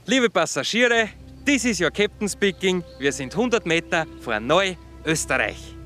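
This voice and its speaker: A man's voice: high-pitched, animated, measured pace, bright, forceful tone, faint music behind, heavily acted. A man playing a jokey driver who announces like an airline captain in a radio drama. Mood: playful, mock-official.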